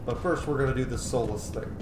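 Man in his thirties, casual let's play, excitable, sweary, roomy home recording. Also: mid-range voice, fast talker, music in the background. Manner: expressive, excitable, swears freely. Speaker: streamer playing a video game. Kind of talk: casual let's play commentary, reading game text aloud. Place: small indoor room.

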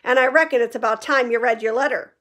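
Booming, blustering giant groundskeeper, gruff man, higher and louder than plain narration as a woman reads him. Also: quick pace, close to an everyday voice. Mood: hearty. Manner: booming and indignant. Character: huge gruff male groundskeeper, blustering and hot-tempered. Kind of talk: storybook reading.

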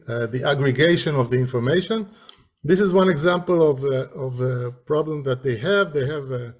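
Slow-talking, halting man presenting. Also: mid-range voice, a little mellow. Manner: halting, hesitant delivery. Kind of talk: webinar slide presentation. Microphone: video call.

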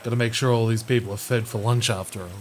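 A man's voice: mid-range voice, quick pace, slight background noise, lightly acted. A man playing a good-natured, competitive big brother in a roleplay audio drama, bantering and teasing. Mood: cheerful, smug. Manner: bantering, animated.

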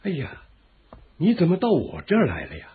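A cowardly, lecherous royal uncle in a radio drama. His voice is very husky and swings about as he frets and whines. The sound is thin and boxed-in, like an old phone line. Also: on the high side, wildly swooping pitch, fairly quick, heavily acted. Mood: alarmed, pleading. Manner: fretful, whining, easily alarmed. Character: timid, lecherous royal uncle drawn into a plot. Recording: muffled, tinny phone-like radio drama recording.

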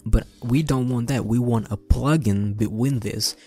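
A young man giving an informal, easygoing and enthusiastic software tutorial, speaking close into a desk microphone. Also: medium pitch, measured pace, faint music behind. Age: young adult. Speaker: tutorial host. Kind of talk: casual screen-recorded software tutorial. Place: home bedroom setup indoors. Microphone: close desk microphone on boom arm.

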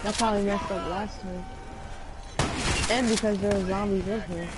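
A woman streaming a co-op game, chatting casually and steering her partner with quick, bossy directions. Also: medium pitch, steady tone, heavy background noise. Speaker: co-op gamer on a livestream. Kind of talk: casual gaming chat. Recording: game music loud behind.

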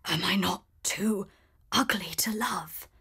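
A woman doing a hulking storybook beast with a low, husky near-whisper, slow and heavy. The voice is dropped well below her own, grave and gloomy, with a dark breathiness. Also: expressive, full character voice. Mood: weak. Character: huge gruff male beast, sad and brooding.

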